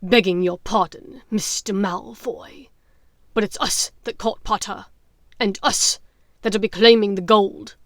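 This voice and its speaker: A woman voicing a rough, greedy male werewolf in an audiobook, coarse and insistent. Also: medium pitch, fairly even intonation, a little slow, dry recording, clean studio sound, close to an everyday voice. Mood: pushy, greedy. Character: rough, scavenging male werewolf demanding his reward. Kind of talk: dramatic audiobook character voice.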